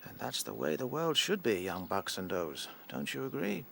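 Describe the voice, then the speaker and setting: A man playing an aged, kindly, wise rabbit chief in a radio drama, speaking in a fatherly, measured way. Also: medium pitch, sing-song, gravelly, fairly bright tone. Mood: fond, storytelling.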